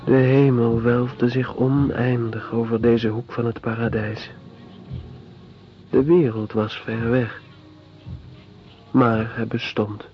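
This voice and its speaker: A tender, nostalgic man, voiced by an actor recites a poetic letter in a radio drama. He is slow and reflective, with faint music and a slightly dull sound. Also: mid-range voice, little pitch movement, close to an everyday voice. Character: tender, nostalgic man writing to a loved one.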